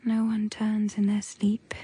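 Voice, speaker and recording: A woman playing an uneasy young governess, narrating her thoughts in breathy, halting, often whispered phrases in an audio drama. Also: mid-range voice, monotone. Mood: hushed wonder. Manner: hushed, halting, short poetic phrases. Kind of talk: literary audio drama monologue. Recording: faint music behind the voice.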